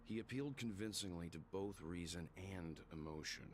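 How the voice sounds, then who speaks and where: An actor playing a stern, cautious mature man in an anime game, speaking in a grave, measured way. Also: sits low, slight background noise, lightly acted. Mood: analytical. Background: background music.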